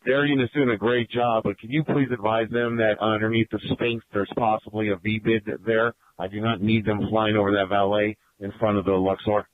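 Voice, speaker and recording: A man's voice: on the low side, little pitch movement, normal speed, hardly any pauses, dry recording. A man speaking as a police officer, passing messages over a police radio. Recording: narrow, tinny police radio transmission.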